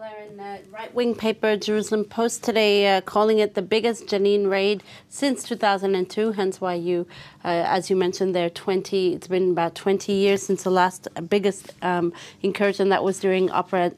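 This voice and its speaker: A woman giving a live press review on a news programme, often pausing mid-phrase as she explains the coverage. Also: medium pitch, flat delivery, a little mellow.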